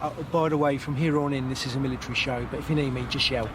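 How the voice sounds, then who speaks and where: A man's voice: mid-range voice, fairly even intonation, very fast, natural voice. A man acting as an easygoing, matter-of-fact British police constable in an audio drama. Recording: distant mic, clear outdoor vehicle noise.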